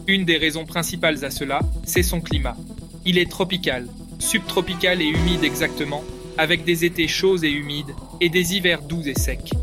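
A man presenting a short segment, reading scripted facts evenly and smoothly. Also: a little high. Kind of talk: scripted fact narration.

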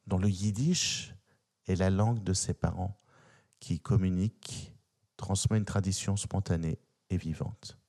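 A man hosting a radio show, reading liner notes and a quoted text in a measured, deliberate way. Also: low-pitched, mellow. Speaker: radio host. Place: radio studio.

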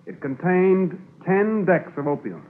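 An actor playing a matter-of-fact police officer recounting cases in conversational 1950s radio drama style. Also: male voice, high-pitched, unhurried, phone-quality sound, faint music behind. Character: male police officer calmly recounting an old case. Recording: noisy band-limited 1950s radio recording.

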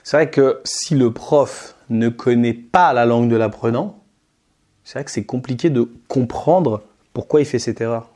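A man's voice: mid-range voice, unhurried, mellow. Male interviewer, mid-range voice, informal and conversational, teacher talking shop. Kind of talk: casual chat, informal slang.

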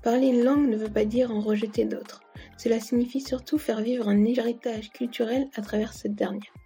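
A woman reading scripted narration in a smooth, formal way. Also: fairly high pitch, fairly even intonation, normal speed, dark tone, faint music behind.

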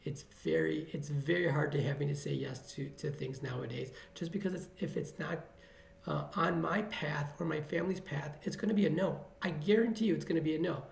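A man's voice: a little high, brisk, gravelly, roomy sound, a little hiss or hum. A middle-aged man from the Chicago area, an emergency physician giving earnest, motivational interview answers and repeating phrases for emphasis.

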